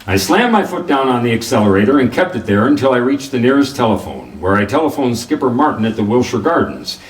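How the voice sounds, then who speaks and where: A man's voice: mid-range voice, sing-song, fast, close to an everyday voice. Man playing a wry detective in a roomy recording. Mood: wry, brisk. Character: wry, hardboiled male private detective. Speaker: narrator and lead actor. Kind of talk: 1940s radio noir drama reading. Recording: roomy, distant mic, faint noise.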